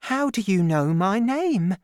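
A woman reading aloud and voicing a gentle, trusting young woman who talks in an eager, breathless way. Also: medium pitch, lively intonation, slow, no echo, clean studio sound, pitched above the performer's own voice. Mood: excited.

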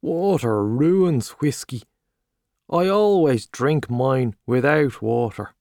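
A man voicing a boastful, hearty Irish journalist, talking loudly and expansively over drinks. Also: mid-range voice, animated, slow pace, no echo, studio quality. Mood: boisterous. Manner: loud, expansive, back-slapping.

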